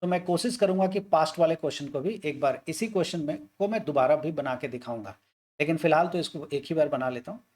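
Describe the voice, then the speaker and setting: Hindi-speaking maths teacher explaining step by step. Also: male voice, a little high, quick pace, a little mellow. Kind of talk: explaining a maths word problem on a board.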